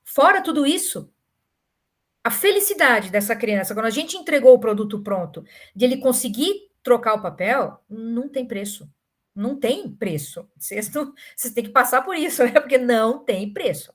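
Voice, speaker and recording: A woman with a Brazilian accent giving an informal academic talk, telling stories in an animated way and often tagging phrases with short questions. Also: fairly high pitch. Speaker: academic speaker answering questions.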